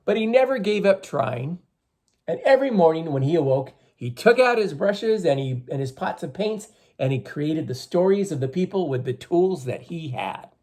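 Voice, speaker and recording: A man reading a story aloud to schoolchildren in an expressive, teacherly way, with emphatic phrasing. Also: fairly high pitch, normal speed. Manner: teacherly, emphatic, addresses listeners with questions.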